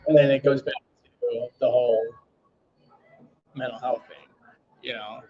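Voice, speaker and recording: A man's voice: mid-range voice, a little slow. Laid-back man in his thirties. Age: 30s. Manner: relaxed, many fillers like "you know". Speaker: chef guest. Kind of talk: casual interview chat. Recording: muffled lo-fi audio.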